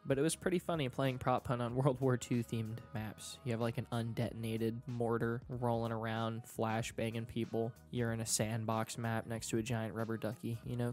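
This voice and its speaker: A man's voice: mid-range voice, a little mellow. A young man with a stuffy nose from allergies, giving a casual, candid rant about a video game and swearing freely. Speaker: gaming YouTuber.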